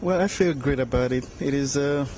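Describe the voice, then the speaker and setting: A man's voice: medium pitch, brisk, a little mellow, slight background noise. A young man from Cameroon, a university student, answering fluently with lots of "you know" into a handheld mic. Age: young adult. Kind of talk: casual interview answers. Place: large bright room at a game jam. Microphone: handheld mic held by interviewer.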